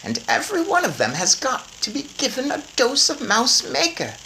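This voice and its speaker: A woman's voice: sits high, huge pitch swings, moderate pace, pushed and bright, a little hiss or hum, pitched above the performer's own voice, heavily acted, poor audio. A woman voicing a doting, practical old grandmother in a storybook, emphatic and instructive. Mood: brisk, urgent.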